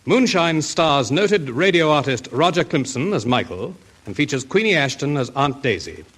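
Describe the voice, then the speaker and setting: A male announcer introduces a radio drama. His fairly high voice moves with broad, animated intonation through the scripted lines. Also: moderate pace, slightly rough.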